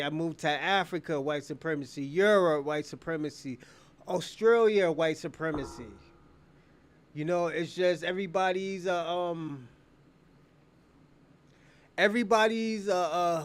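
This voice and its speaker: High-voiced man hosting a rambling livestream. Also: measured pace, dry recording. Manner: opinionated, rambling, repeats phrases. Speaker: live stream show host. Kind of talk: casual off-the-cuff livestream talk.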